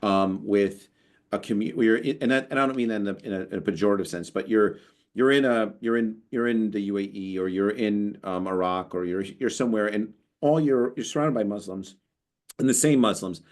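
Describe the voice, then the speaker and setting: American guy with a real low voice, chatty podcast co-host, keeps restarting mid-story. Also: brisk. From United States. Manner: animated, storytelling, lots of restarts. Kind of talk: casual conversation.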